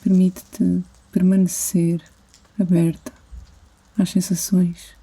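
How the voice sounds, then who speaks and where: A woman with a European Portuguese accent guides a sleep meditation as a yoga instructor, soothing and gentle, with long pauses between phrases. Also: on the low side, level tone, breathy edge.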